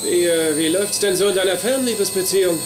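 An actor playing a lewd, teasing young nerd in a dubbed sitcom, with a suggestive manner. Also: male voice, sits high, quick pace, very noisy, lightly acted.

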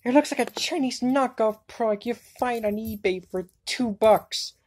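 A woman voicing an excitable, sarcastic anime girl in an amateur cartoon fandub. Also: on the high side, normal speed, natural voice. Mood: excited, alarmed.

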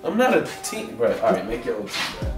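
A man's voice: medium pitch, very animated, fast talker, reverberant, over music. A young man at his house, teasing his friend in blunt slang. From Maryland. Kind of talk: casual chat. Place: house interior.

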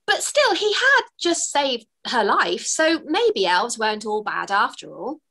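Brief: woman, 30s, author narrating kids' story on a webcam, lively and bright. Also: fairly high pitch, unhurried. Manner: expressive, storytelling. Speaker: author reading her book. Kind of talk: children's read-aloud narration. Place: home room with bookshelves, indoors.

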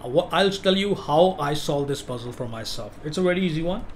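A man's voice: fairly high pitch, lively intonation, brisk, slight background noise. A man giving a casual gameplay walkthrough, explaining things step by step and repeating himself. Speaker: gaming walkthrough host.

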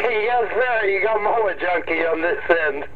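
High-voiced man on tinny, noisy CB radio. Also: a little slow, over a noisy crowd. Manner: friendly, courteous. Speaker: CB radio operator. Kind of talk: casual CB radio chat. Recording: tinny radio sound, clear static.

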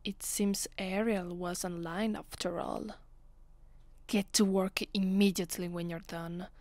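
A woman's voice: lowish voice, fairly even intonation, unhurried, darkish tone, no echo, lightly acted. A woman with an Italian accent playing a haughty, possessive vampire mistress in an ASMR roleplay, condescending and teasing, often dropping to a whisper. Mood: haughty, seductive.

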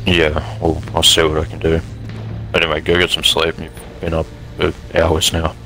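Man acting a deep, blunt survivor boss. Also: completely flat delivery, moderate pace, bright, forceful tone, full character voice, poor audio. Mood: grim, firm. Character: gruff, guarded male survivor camp boss. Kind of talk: improvised roleplay acting in a video game skit.

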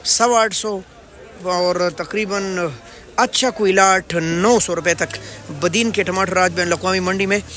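A man's voice: high voice, steady tone, a little slow, pushed and bright, faint music behind. A man in his thirties with a Pakistani accent, presenting an informational market report in an earnest, projected way. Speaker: host of market rate updates.

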